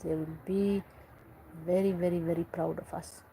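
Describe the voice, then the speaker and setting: A woman's voice: lowish voice, flat delivery, normal speed, creaky, dark tone, slight background noise, low-quality recording. A woman from Eritrea, earnestly giving parenting advice in English and repeating herself for emphasis. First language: Tigrinya or other Eritrean language. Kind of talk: earnest talk to camera.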